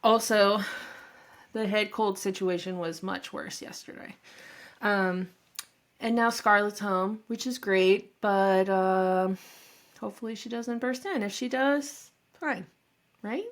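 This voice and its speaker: A shy, self-deprecating woman in middle age, speaking at a slow, unhurried pace while a head cold leaves her a little stuffy. She wanders through her thoughts with plenty of fillers and asides, recorded on her own microphone in a home office. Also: mid-range voice. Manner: shy and nervous. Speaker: vlogger introducing her channel. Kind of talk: casual talk to camera.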